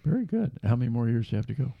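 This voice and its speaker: A man's voice: mid-range voice, sing-song, rapid-fire, gravelly, breathy edge, no echo, narrow, tinny audio. An older man hosting a casual talk show, folksy and rambling with many restarts. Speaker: host. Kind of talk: casual interview chat.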